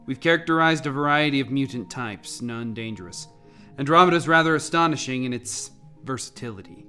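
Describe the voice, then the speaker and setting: Man playing bright-voiced, composed scientist. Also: mid-range voice, expressive, a little fast, smooth, faint music behind. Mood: sober. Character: composed, earnest male scientist on a crisis team. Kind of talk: audio drama acting, measured explanatory delivery.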